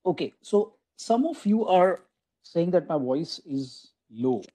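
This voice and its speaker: A man giving an online lecture to a room of teachers, speaking through a computer microphone on a video call. His voice sits a little high but sounds very soft and mellow. He talks in a loose, conversational way, restarting phrases and leaning on "you know" as he goes. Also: animated, slow. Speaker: guest lecturer at a faculty development program.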